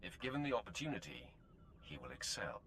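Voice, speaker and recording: An actor playing an imperious Sith lord in a video game, speaking in a curt, dry, commanding manner. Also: male voice, lowish voice, sing-song, fairly quick, a bit bright, slight background noise. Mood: measured. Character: imperious, drily menacing male Sith lord. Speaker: game protagonist.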